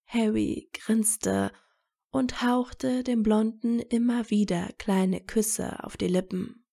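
A woman reading scripted romantic fiction as a narrator, with a measured storytelling delivery. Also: a little high, lively intonation, gravelly, warm tone.

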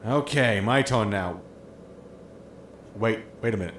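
A man's voice: lowish voice, unhurried, fairly bright tone. Man acting a sarcastic spaceship crewman, echoey. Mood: impatient, puzzled. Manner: sarcastic, exasperated. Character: grumbling, money-minded, sarcastic spaceship crewman. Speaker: actor in an audio drama. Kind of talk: sitcom audio drama acting. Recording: roomy echo with noisy ambience.

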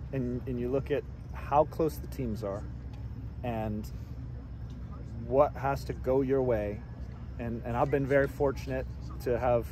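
A middle-aged man, a head soccer coach, answering reporters after a game outdoors at night, measured and repeating words as he thinks. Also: medium pitch, noisy background. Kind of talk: postgame press interview. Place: outdoors by a stadium track at night.

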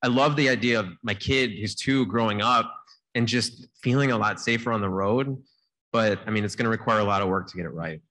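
A man's voice: lowish voice, brisk. A man in his thirties on a conference panel, talking casually and energetically into a handheld mic. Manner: casual, energetic, uses "like" often. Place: conference stage. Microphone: handheld microphone.

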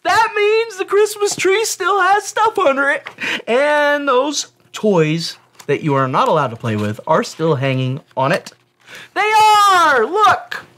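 A woman reading a storybook, voicing an excited woman waking a boy on Christmas morning. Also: high voice, takes it slowly, pitched above the performer's own voice, full character voice. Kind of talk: reading a picture book aloud.

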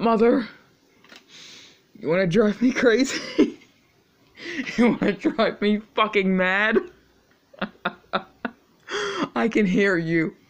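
A man's voice: sits high, dull audio. An actor playing a tormented man who hears his mother's voice, cursing and talking to her as if she were in the room. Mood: anguished. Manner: anguished, cursing, talking to someone absent. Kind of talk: dramatic monologue.

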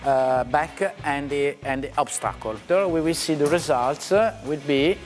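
Italian guy walking through a test lab, slightly high voice, lots of uhs. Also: unhurried, soft background music. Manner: hesitant, explaining with fillers. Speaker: company representative. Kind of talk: explaining on a factory tour.

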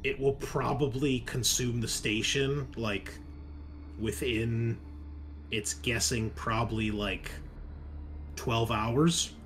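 A man narrating a tabletop roleplaying game as game master, improvising and explaining things in a halting way. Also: medium pitch, fairly even intonation, a bit bright, slight background noise.

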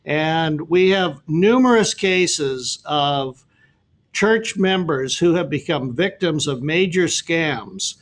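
A man's voice: a little high, expressive, slow. A middle-aged man presenting an insurance webinar, speaking plainly with light humor. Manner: plain-spoken, lightly joking.

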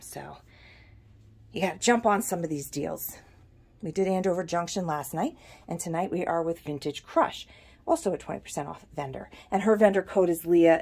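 A middle-aged woman with a fairly low voice walks through her antique shop, chatting casually about each piece and its price. She films on a handheld phone indoors among the glass shelves. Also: a little mellow. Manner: chatty, pricing items as she goes. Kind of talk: casual video shop tour. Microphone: handheld phone camera.

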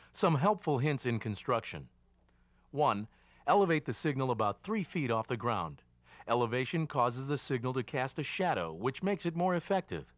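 A man reading scripted instructional narration for a training film in a measured, didactic way. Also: mid-range voice, no room sound. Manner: measured, didactic, enumerating points. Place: studio voice-over.

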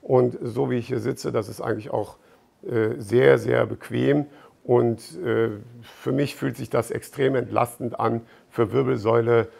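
Very gravelly middle-aged man. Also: mid-range voice, darkish tone. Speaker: fitness expert presenter. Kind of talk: informal product explanation to camera. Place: bright gym showroom indoors with large windows.

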